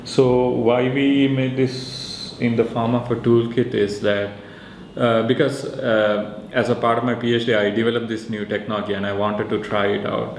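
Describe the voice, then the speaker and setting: A man with a Sri Lankan accent explains his work in a hesitant, informal way. His voice is on the low side with very flat intonation, recorded in an echoey room. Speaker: researcher and maker presenting a project. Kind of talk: informal explanatory interview talk. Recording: echoey room with faint background noise.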